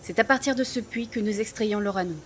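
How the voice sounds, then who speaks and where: An actress playing a stern, commanding village clan leader in an audio drama, formal and authoritative. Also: female voice, medium pitch, fairly quick, natural voice. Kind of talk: French audio drama acting.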